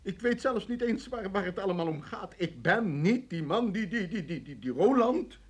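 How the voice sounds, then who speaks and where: A frantic man under arrest in a radio drama. His high, husky voice leaps about as he stammers and pleads, desperate to be believed. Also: lively intonation, moderate pace. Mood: desperate, pleading. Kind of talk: radio play acting.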